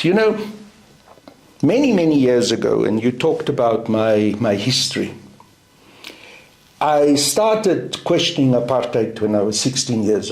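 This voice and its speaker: A middle-aged South African man whose first language is Afrikaans, speaking in a deliberate, emphatic way as an interview guest. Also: mid-range voice, darkish tone. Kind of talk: interview answer. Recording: roomy echo.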